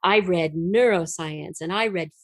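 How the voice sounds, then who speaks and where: A middle-aged American woman from the western United States, speaking as a podcast guest and telling personal stories in an animated, conversational way. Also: fairly low pitch, measured pace, no room sound, clean studio sound. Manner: animated, acts out remembered dialogue. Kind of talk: conversational personal storytelling in an interview.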